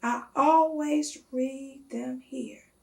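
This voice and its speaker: Woman reading as a gentle, determined little boy, high-pitched. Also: fairly even intonation, extremely slow, gravelly, distant mic, heavily acted. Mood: polite, firm. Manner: gentle, earnest, insistent. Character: polite, determined young boy standing his ground.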